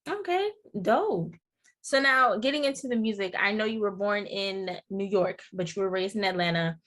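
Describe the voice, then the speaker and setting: Female interviewer, friendly affirming delivery, mid-pitched. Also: moderate pace, fairly bright tone. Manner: upbeat, affirming, "we love that". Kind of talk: interview questions.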